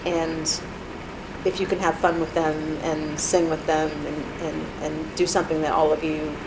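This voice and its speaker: A woman in her thirties answers interview questions in her apartment, her voice low and unhurried. She hesitates often, circling back with fillers and restarts, and an air-conditioner hum sits clearly under her speech. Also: level tone. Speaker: alumna being interviewed. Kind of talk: reflective interview.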